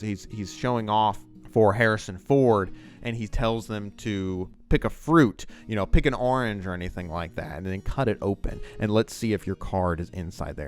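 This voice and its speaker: A man giving an informal, unscripted explanation as a video essayist, rambling conversationally and speaking straight to the viewer. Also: on the low side. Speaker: video essayist on fiction writing.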